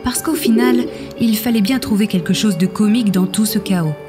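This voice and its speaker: A woman's voice: mid-range voice, moderate pace. A woman reading scripted, dramatic story narration for an audio fiction. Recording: music behind the voice.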